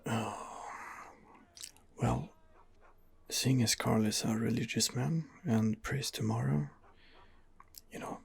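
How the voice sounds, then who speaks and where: A man narrating an ASMR game playthrough in a soft, hesitant half-whisper, thinking aloud as he goes. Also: medium pitch. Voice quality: soft, breathy half-whisper with vocal fry. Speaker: gamer role-playing a video game character.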